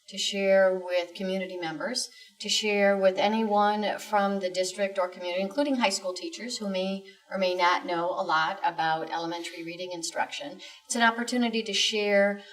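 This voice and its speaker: A woman giving measured, formally worded explanations as an expert guest in an interview. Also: medium pitch, recorded from a distance, rough recording.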